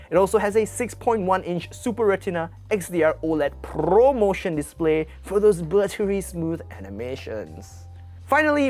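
A young Malaysian woman runs through tech bargains in a brisk, chatty way, her low-set voice swinging widely in pitch. She speaks from a small padded studio on an off-camera mic, with faint music underneath. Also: a little mellow. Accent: Malaysian English. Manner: brisk, upbeat, chatty asides with gestures. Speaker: tech channel presenter. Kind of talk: scripted product rundown. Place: small studio with red-lit acoustic panels.

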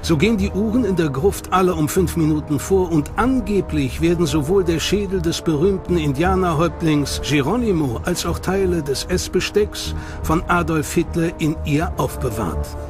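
A man narrates a documentary in a measured, serious tone, his voice sitting a little higher than most men's. A clear music bed runs under every line.

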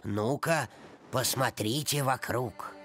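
A man voicing a kindly old cartoon storyteller, gentle and expressive as he tells children tales. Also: mid-range voice, slow. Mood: tender wonder. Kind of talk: animated series voice acting. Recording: music under the voice.

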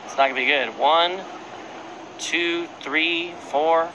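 A man's voice: on the high side, steady tone, dull audio, background noise. Blunt, sweary young male gamer. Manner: blunt, sweary, quick callouts. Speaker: teammate. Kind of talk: casual gameplay chat. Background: music playing.

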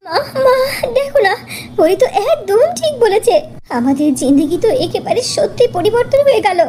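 A woman's voice: very high voice, big pitch swings, normal speed, dark tone. A woman voicing a poor, innocent young village girl in an earnest cartoon style. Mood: overjoyed. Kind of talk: Bengali fairy-tale cartoon acting. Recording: noticeable background noise.

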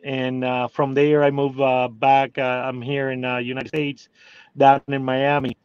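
A man's voice: medium pitch, level tone, a little slow, smooth, no echo. Cuban-accented man, hesitant, in a car. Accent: Cuban Spanish. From Cuba. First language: Spanish. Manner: hesitant, many "uh" fillers and restarts. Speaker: guest. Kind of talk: casual interview. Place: inside a parked car. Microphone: phone video call. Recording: dry, slightly dull phone audio.

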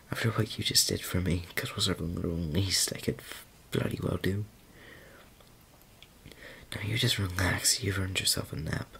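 A male voice actor playing a tender daemon boyfriend in an ASMR roleplay, talking softly and often in a whisper, stammering with affection. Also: sits low, gravelly, heavily acted.

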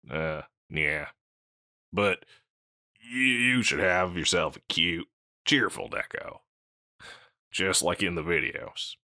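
Man playing a very deep-voiced slacker catboy dude. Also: bright, forceful tone, no echo, clean studio sound, exaggerated voice. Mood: self-deprecating, resigned. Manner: slacker dude drawl, halting and mumbly. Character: scruffy, insecure older slacker male catboy pet. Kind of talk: comedic audio roleplay addressed to the listener.

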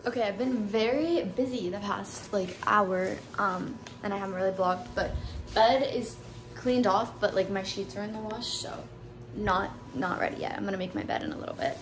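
A woman's voice: medium pitch, normal speed, noisy background. A young woman in her twenties talking casually to her phone camera, upbeat and chatty with lots of filler words. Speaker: vlogger. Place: home, beach and car. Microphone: phone held at arm's length.

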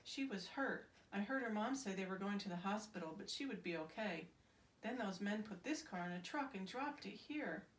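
A woman's voice: mid-range voice, lively intonation, normal speed, natural voice. A woman voicing a small, worried male stuffed toy duck in a children's storybook, anxious and plaintive. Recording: roomy, distant mic, faint hiss.

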